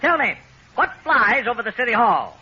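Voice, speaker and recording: An actor playing an excitable quiz show host with formal, exasperated politeness and an American accent in a 1940s radio comedy. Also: male voice, extremely high pitch, normal speed. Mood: flustered. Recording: dull, noisy old radio recording.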